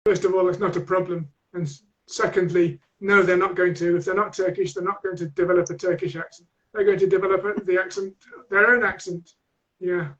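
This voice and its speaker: A man with a Manchester accent answers questions as a guest teacher trainer, pausing often and restarting his sentences as he thinks. His voice sits unusually high for a man. It comes through the dull, lo-fi sound of an online call. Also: fairly even intonation, a bit bright. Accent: Manchester English. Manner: thoughtful, hesitant with many restarts.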